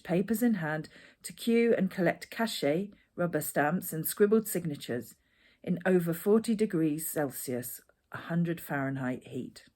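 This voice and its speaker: A woman's voice: lowish voice, dark tone. A woman reading a personal narrative aloud as its author. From Edinburgh, Scotland. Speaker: author reading their own essay.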